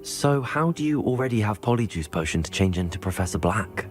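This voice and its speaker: A man's voice: on the low side, lively intonation, fairly quick, faint music behind, lightly acted. A man playing an earnest, resolute young student wizard in a video game, polite in his way of talking. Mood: earnest, inquisitive. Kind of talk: video game cutscene acting.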